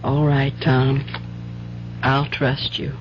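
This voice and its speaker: Weary American wife, muffled radio recording. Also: female voice, very deep voice, monotone, very slow, over loud music, exaggerated voice. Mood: reluctant. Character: weary, wary working-class wife and mother. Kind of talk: old-time radio drama acting. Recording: dull, noisy 1970s radio recording with hum.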